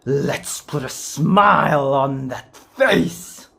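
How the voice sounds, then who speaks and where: A man acting a taunting, knife-wielding film villain in a slow, theatrical, sinister manner. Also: medium pitch, vocal fry, a bit bright, voice pushed up, exaggerated voice.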